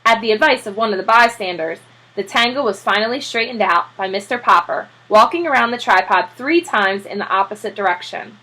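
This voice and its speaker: A woman reading a children's story aloud in an expressive, animated teacher's manner. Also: mid-range voice, a little fast, poor audio. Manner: expressive, acts out character voices.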